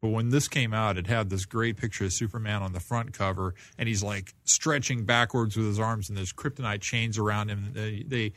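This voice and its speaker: Co-host: middle-aged American man, slightly low register, informal conversational delivery. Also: fairly even intonation, fairly quick, hardly any pauses, fairly bright tone, no room sound. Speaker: podcast co-host. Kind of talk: casual comics chat.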